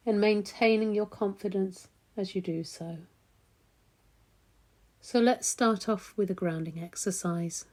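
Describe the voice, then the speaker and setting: Lady doing guided meditation, really slow and soft toned, mellow relaxed voice, long gentle pauses. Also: mid-range voice.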